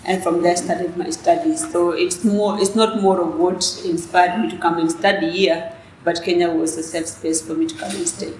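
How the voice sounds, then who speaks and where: Young woman, native Dinka speaker, interview guest; fairly low voice, halting answers, roomy echo. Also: steady tone. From South Sudan. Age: young adult. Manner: soft-spoken, hesitant with many restarts. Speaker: student leader guest. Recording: echoey room with faint noise.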